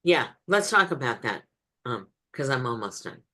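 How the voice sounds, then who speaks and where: Low-voiced woman giving a webinar talk. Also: sing-song, normal speed, sharp tone. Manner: direct, frequent ums and restarts. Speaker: webinar speaker on agile. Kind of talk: presentation answering questions.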